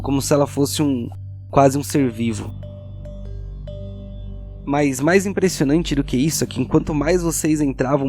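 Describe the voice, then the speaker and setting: A Brazilian man narrating a tabletop game as game master, describing scenes in a measured way with deliberate pauses. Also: fairly high pitch, a little mellow. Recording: music behind.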